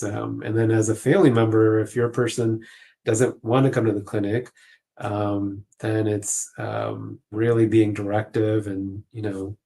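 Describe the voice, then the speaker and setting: A man's voice: fairly low pitch, gravelly, a little mellow. A man answering casually as a guest social worker in an interview.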